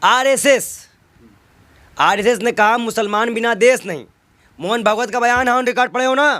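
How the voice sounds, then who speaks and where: Male interviewee. High voice, dry sound, combative and emphatic political argument. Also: moderate pace, bright, forceful tone, no room sound. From North India. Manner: argumentative, emphatic, with rhetorical questions and repetition.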